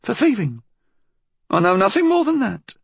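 A man narrating an audiobook plays a frail, cunning old blind woman with a menacing air. The voice is pushed high and swoops about, hissing and husky, with bitter formality in every phrase. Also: sing-song, moderate pace, dry recording, heavily acted. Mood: frightened, defensive.

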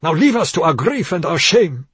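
A man's voice: fairly high pitch, sing-song, normal speed, breathy edge, dry recording, voice pushed up, full character voice. A man playing a stern, bitter elderly father in a story, commanding and accusatory. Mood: bitter, accusing.

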